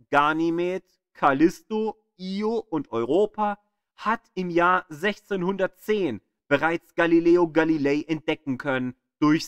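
Slow, animated male narrator, dramatic emphasis. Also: a little high, clean tone, no room sound. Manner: dramatic emphasis with drawn-out key words. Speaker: narrator of an astronomy audio guide. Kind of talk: scripted educational narration.

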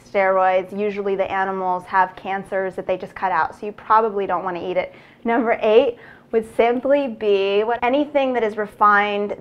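Upbeat woman host in a kitchen. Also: medium pitch. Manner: upbeat, chatty, gestures with hands while explaining. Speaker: lifestyle show host. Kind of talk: scripted cooking tips to camera. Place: home kitchen, indoors.

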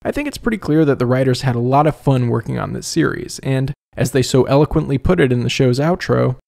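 A man's voice: mid-range voice, expressive, quick pace, creaky, darkish tone. A man narrating a scripted review as a video host, conversational with wry asides.

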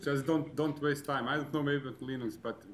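Blunt male developer, mid-pitched. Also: steady tone, fairly quick. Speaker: game developer on a panel. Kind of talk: unscripted technical discussion. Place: conference room. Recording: faint room noise.

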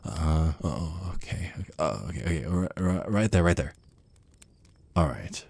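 A man's voice: deep voice, animated, unhurried, creaky, darkish tone, dry recording, heavily acted. A man playing a shy, nervous, smitten vampire on a first date in an ASMR roleplay, stammering and hesitant. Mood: anxious, careful.

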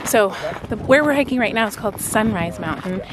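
A woman's voice: mid-range voice, animated, a little fast. A woman in her thirties talking cheerfully to a handheld camera on a desert hiking trail, chatting directly to her viewers. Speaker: travel vlogger. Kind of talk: casual vlog talking to camera on a hike. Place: outdoors on a desert mountain trail. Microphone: handheld camera at arm's length. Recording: outdoor recording with clear background noise.

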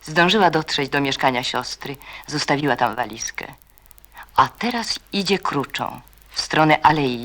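Radio play narrator, very slow delivery, creaky voice, measured, suspenseful, sometimes hushed. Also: medium pitch. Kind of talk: scripted dramatic narration.

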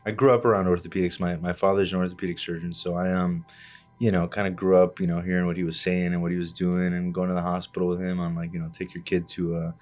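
A man in his thirties from Cuba, raised in Miami, an orthopedic surgeon explaining his work in a measured way with many fillers, in a studio podcast on a desk mic. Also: deep voice, level tone, a little mellow. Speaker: orthopedic surgeon, interview guest. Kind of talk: hospital podcast interview. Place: small studio set with plant and armchairs. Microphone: desk podcast microphone on arm.

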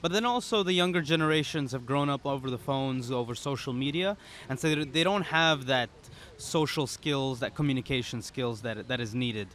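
A man's voice: medium pitch, moderate pace, few pauses, a bit bright. Young man explaining casually, faint outdoor noise. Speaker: interview guest, startup founder. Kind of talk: conversational interview, explanatory. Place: outdoor pop-up podcast booth at a conference. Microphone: boom-arm podcast microphone. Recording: outdoor event ambience behind voice. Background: outdoor conference ambience.

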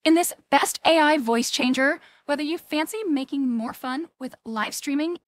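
A woman's voice: high-pitched, normal speed, pitched above the performer's own voice. A woman voicing a young female video game character through an AI voice changer. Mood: demonstrating.

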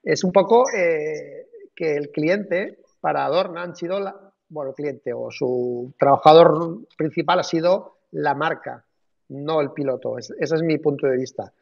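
A man's voice: on the high side, a little slow, vocal fry. A man on a video call arguing his point insistently in a live debate. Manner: insistent, argumentative. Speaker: panelist. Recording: dull video call audio.

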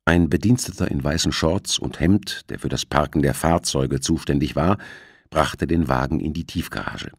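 A man's voice: rumbling low, moderate pace, creaky, slightly rough, dry recording, clean studio sound. A man reading a novel as a scripted audiobook narration.

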